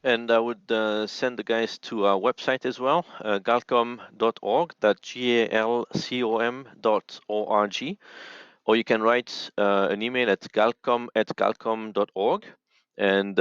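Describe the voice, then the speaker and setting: A man talks as a podcast guest, measured and earnest as he explains. The sound is very dry and a little muffled. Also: lowish voice, level tone, clean tone, no echo. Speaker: podcast guest, radio ministry worker. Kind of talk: thoughtful interview talk. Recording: slightly dull, dry audio.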